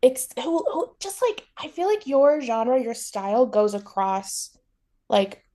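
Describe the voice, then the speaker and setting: Woman host doing a chatty interview, voice a bit high, super enthusiastic and informal. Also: very animated, takes it slowly, dark tone. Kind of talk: casual interview chat.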